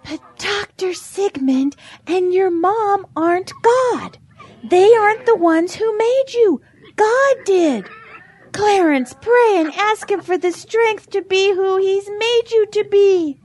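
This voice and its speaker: A gentle, pious hen in an audio drama. The voice is extremely high and very lively in its swoops, earnest and preachy, recorded close and dry. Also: female voice, slow, no room sound, full character voice. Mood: earnest, preaching.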